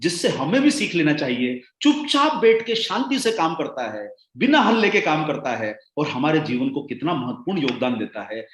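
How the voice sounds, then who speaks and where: A man giving a motivational sales training talk, rousing and calling his listeners friends, his voice swinging between loud and hushed. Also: a little high, big pitch swings, normal speed, few pauses, roomy sound.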